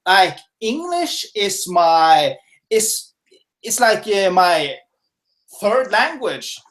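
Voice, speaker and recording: A man's voice: sits high, very animated, drawn-out words, fairly bright tone. A man from Norway, a guest expert giving casual, filler-heavy answers in a webinar interview from his home office. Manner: casual, chatty, lots of fillers and restarts.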